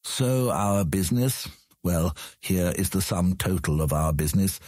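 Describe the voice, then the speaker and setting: Old male ruler, low husky voice, dry close recording, formal, condescending, fantasy audio drama. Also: expressive, unhurried, a little mellow, no room sound. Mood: condescending, amused. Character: old humorless cunning outlander lord on a throne.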